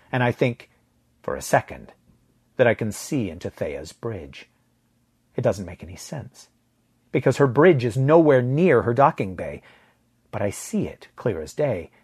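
Guy reading a first person sci-fi story, lowish husky voice, scripted narration. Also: darkish tone.

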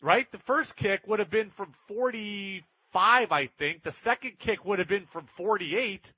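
A man with a high-pitched, forceful voice hosts sports talk. He argues emphatically and circles back to hammer his points. The sound is dry and close, a little dull in fidelity. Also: a little slow, husky, no room sound. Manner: emphatic, repeats points for effect. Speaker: sports talk show host. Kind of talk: opinionated sports talk commentary.

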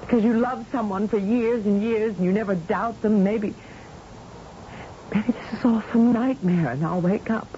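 A woman's voice: mid-range voice, moderate pace, mellow, lightly acted. An actress playing a distraught woman scientist and loyal wife, stammering emotionally in an old-time radio drama. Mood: anguished. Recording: muffled, noisy old radio recording.

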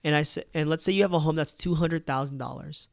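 A man's voice: on the high side, fast talker, gravelly, dry recording, clean studio sound. A man, a real estate agent, casually explaining home buying, often checking in with "right?" and "okay?". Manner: conversational, peppers with "right?" and "okay?".

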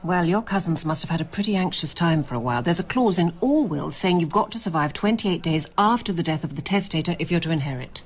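Shrewd woman journalist, animated low-ish voice as acted. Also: quick pace, few pauses, lightly acted. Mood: insinuating. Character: shrewd, cool, probing female investigative journalist. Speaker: actor in a radio drama. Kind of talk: British radio drama acting.

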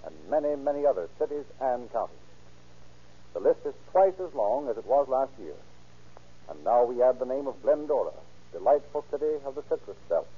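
A man announcing and narrating from a script in a formal, measured 1930s radio style. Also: mid-range voice, slightly rough. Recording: dull 1930s radio recording.

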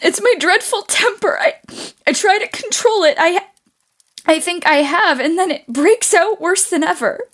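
Actress as passionate teen daughter who blames herself, high voice, earnest and intense, period audio play. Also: female voice, animated, a little slow, fairly bright tone, heavily acted. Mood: guilty, distressed. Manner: intense, confessional. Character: passionate, self-reproaching teenage tomboy daughter.

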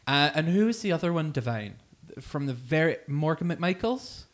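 A man's voice: on the high side, sing-song, moderate pace. A Scottish man co-hosting a podcast, talking in a casual, chatty and sweary way. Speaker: podcast co-host.

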